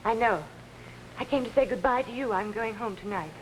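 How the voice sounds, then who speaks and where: An actress playing an ambitious young pianist who is outwardly demure and secretly scheming, in 1950s television drama style. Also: female voice, a little high, brisk, lightly acted. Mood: composed, subdued. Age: young adult. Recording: noisy, aged 1950s television soundtrack.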